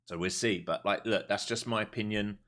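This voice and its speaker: A man's voice: fairly low pitch, a little fast, fairly bright tone. A man, chatting casually and bluntly while trading live on stream. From Europe. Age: 40s. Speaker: livestream day trader. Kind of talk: live market commentary and chat.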